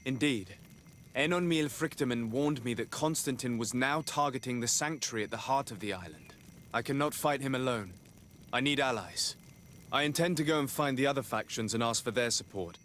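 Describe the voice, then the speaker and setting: Guy playing earnest young lord in a game, formal n serious, medium pitch, some background noise. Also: fairly bright tone, lightly acted. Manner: formal, articulate, grave. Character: earnest young noble envoy seeking allies, measured and resolute. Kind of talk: dramatic video game dialogue.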